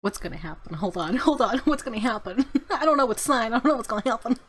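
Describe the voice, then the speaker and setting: A woman streaming a game, giggly and excitable as she switches between reading narration and reacting in commentary. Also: fairly high pitch, quick pace, husky. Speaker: gaming streamer.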